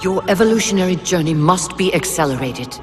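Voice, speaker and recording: An actor playing a grave ancient alien oracle, formal and cryptic, in a video game cutscene. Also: male voice, high voice, animated, a little fast. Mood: solemn, urgent. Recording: long reverb, music underneath.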